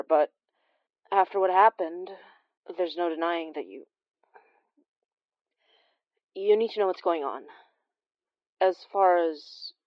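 A woman's voice: mid-range voice, dry recording, lightly acted. An actress playing a rambling, self-deprecating Filipino immigrant woman who confides hesitantly and chattily in an audio drama monologue. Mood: uneasy, reluctant. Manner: hesitant, chatty, trailing off and correcting herself. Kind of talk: audio drama monologue as an intimate phone-call confession. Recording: slightly dull, dry close recording.

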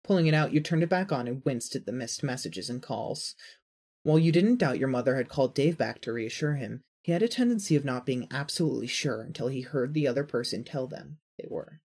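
A man's voice: mid-range voice, animated, a little fast, darkish tone, slightly rough. A man reading scripted fan fiction narration as the storyteller.

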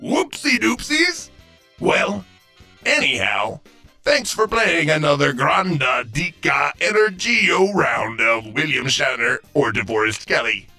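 A man's voice: on the high side, sing-song, takes it slowly, bright, forceful tone, faint music behind. A man hosting a zany scripted quiz show in a theatrical, exclamatory way with sing-song catchphrases. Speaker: game show host.

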